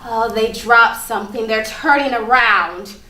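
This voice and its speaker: In an echoey room, a woman plays a hungry snake-like creature with a high voice. It grumbles slowly and dryly, fed up and looking for a hole, as part of a staged reading. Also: close to an everyday voice. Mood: grumbling. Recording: echoey room, noisy amateur stage recording.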